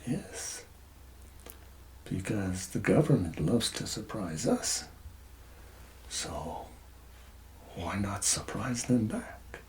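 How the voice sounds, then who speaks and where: A man doing a soft-spoken ASMR roleplay as a tax collector, hesitant and conspiratorial, often dropping into whispers. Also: on the low side, very animated, very slow, pauses often, slight background noise.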